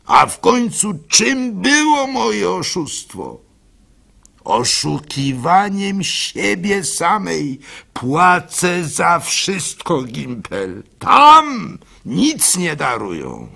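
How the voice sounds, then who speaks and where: Confessing wife, played by a male reader, radio story, solemn, reproachful, husky, slow. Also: high voice, huge pitch swings, bright, forceful tone, voice pushed up, heavily acted. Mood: reproachful, grieving. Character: dying, confessing, reproachful wife, later a ghost. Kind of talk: dramatised storytelling.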